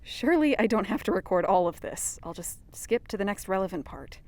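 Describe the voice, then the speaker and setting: An actress playing an earnest, inquisitive young podcast interviewer in an audio drama, conversational and a little wry. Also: female voice, mid-range voice, very animated, a little fast, lightly acted. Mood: wry, weary.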